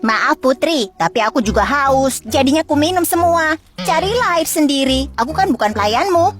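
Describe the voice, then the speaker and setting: A woman plays a scheming young servant girl from a storybook. The voice is high, curt and haughty, bossing others with insolence. Soft music sits behind it. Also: expressive, normal speed. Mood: insolent. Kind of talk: children's fairy-tale dramatization.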